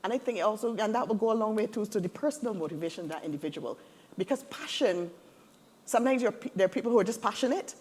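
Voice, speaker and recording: A woman's voice: mid-range voice, lively intonation, fast, a little mellow, a little hiss or hum. A woman speaking earnestly on a webinar panel, building her points in emphatic lists.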